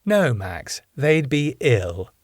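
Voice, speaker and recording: A man's voice: medium pitch, sing-song, slow pace, no room sound, studio quality. A man voicing a cheerful, domineering middle-aged wife in a raised voice. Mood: breezy. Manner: sweet but domineering.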